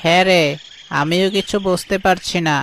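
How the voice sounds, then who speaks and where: Casting: male actor as industrious fox, wronged and grumbly, highish cartoon delivery. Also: steady tone, normal speed, fairly bright tone, a little hiss or hum. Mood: puzzled. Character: hard-working male fox orchard keeper, wronged and indignant. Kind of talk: cartoon voice acting.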